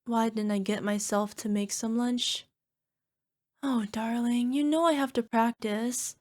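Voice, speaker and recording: A woman's voice: on the high side, fairly even intonation, a little slow, a little mellow, lightly acted. A woman playing a small, sheepish cyclops monster girlfriend in an ASMR roleplay, wheedling and making cutesy, defensive excuses. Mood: evasive, sheepish. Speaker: voice actor.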